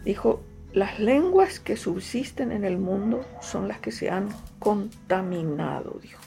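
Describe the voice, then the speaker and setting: A middle-aged woman with a Paraguayan Spanish accent and Guaraní as her first language speaks slowly and thoughtfully, often circling back to repeat a phrase. Her voice is gravelly and dark, with a relaxed mellowness. Soft music plays faintly beneath her. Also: mid-range voice. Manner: unhurried, fond of repetition, frequent fillers. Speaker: writer, interviewee. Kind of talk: reflective interview answers.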